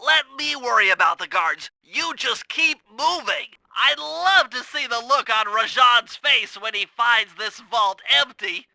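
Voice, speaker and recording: Video game role: brainy young male turtle gadget expert. Extremely sharp, bright tone, fussy technical delivery, very dry close recording. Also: very high-pitched, lively intonation, unhurried, vocal fry, hardly any pauses, dry recording, exaggerated voice, poor audio. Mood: earnest instructing. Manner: precise, wordy, technical instructing. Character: nerdy young male cartoon turtle, brainy, earnest gadget-minded strategist. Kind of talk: video game character voice acting.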